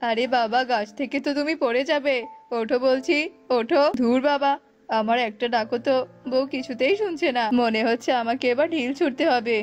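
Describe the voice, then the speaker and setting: Woman playing an excitable high-voiced young bridegroom. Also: a little fast, lightly acted. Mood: bewildered, exasperated. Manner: exclamatory, pleading, repetitive. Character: excitable young bridegroom, fussy and easily bewildered. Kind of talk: Bengali cartoon voice acting.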